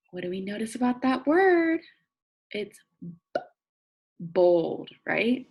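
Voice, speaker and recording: Slow, very animated woman teaching young children. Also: medium pitch, slightly rough. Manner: expressive, prompting listeners with questions and think-alouds; slow, deliberate sentence reading with simple explanations for children. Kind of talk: interactive nonfiction read-aloud lesson for young children.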